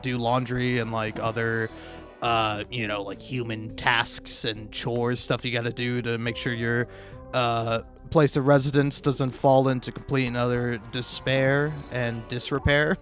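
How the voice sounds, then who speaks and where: A man's voice: mid-range voice, flat delivery, measured pace, a bit bright, soft background music. A man doing casual, rambling YouTube gaming commentary, stammering and restarting often, with profane, self-deprecating talk.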